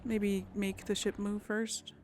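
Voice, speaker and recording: A woman's voice: medium pitch, flat delivery, slow pace, darkish tone, slight background noise. A woman giving casual commentary on a game she plays, hesitant and thinking aloud. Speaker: game streamer, let's player.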